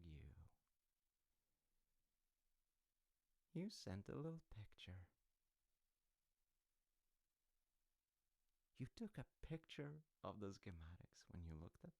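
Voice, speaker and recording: A man acting as a smooth, sly male secret agent in an audio roleplay, close and dry. His low voice swings playfully up and down as he muses to his partner with teasing, false innocence. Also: fairly bright tone, no echo, heavily acted. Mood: sly amusement. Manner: teasing, musing, hesitant pauses, falsely innocent. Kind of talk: intimate audio roleplay, addressing the listener as partner.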